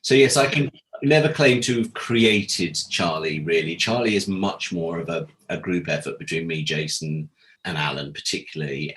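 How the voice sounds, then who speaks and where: A British man chatting as an interview guest, telling anecdotes in a casual, animated way and acting out bits of dialogue. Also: very deep voice, low-quality recording. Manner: animated storyteller, acts out quoted dialogue. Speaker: interview guest, former audio drama producer.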